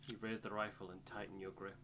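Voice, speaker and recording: A man reading video game narration aloud in a measured storybook way, with casual asides as a playthrough co-host. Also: low-pitched, flat delivery, over music.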